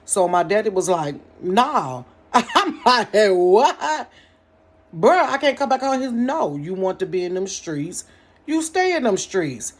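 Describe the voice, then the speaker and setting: A woman's voice: mid-range voice, takes it slowly, breathy edge. Laughing woman telling a story. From Cincinnati, United States. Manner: animated, laughs often, talks straight to the viewers. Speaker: storyteller vlogger. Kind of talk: casual storytime monologue to viewers. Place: her bedroom.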